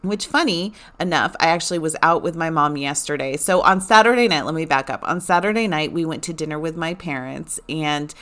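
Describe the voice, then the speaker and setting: A woman in her forties chatting casually to her listeners, animated and rambling with lots of fillers. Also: sits low, a little fast, hardly any pauses. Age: 40s. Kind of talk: casual personal chat.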